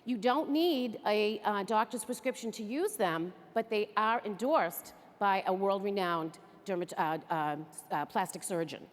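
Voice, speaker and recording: A woman's voice: mid-range voice, unhurried, hardly any pauses. A woman from the area, giving an upbeat, chatty training talk to an audience. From Greater Boston area. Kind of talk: enthusiastic stage presentation. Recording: echoey hall.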